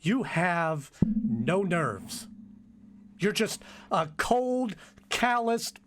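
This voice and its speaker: A man's voice: sits high, sing-song, very slow, background noise, full character voice. A man playing a flustered, whiny, stammering son and sidekick in an old-time radio drama. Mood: exasperated. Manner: stammering, hesitant.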